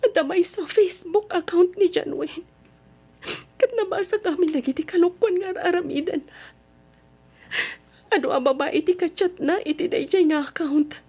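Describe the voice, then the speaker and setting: Casting: heartbroken young woman, very high voice, plaintive and weepy, Ilocano accent, radio-drama delivery. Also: normal speed, a little mellow. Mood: hurt, tearful. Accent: Ilocano Filipino. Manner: tearful, plaintive. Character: heartbroken young woman, betrayed by her boyfriend. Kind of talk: old-time Ilocano radio drama acting.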